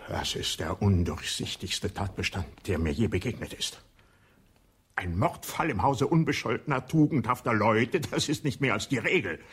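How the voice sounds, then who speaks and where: A shrewd, ironic inspector. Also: male voice, lowish voice, lively intonation, moderate pace, a bit bright, slightly rough, exaggerated voice. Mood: probing. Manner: probing, ironic questioning. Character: shrewd male police inspector. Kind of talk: radio play acting.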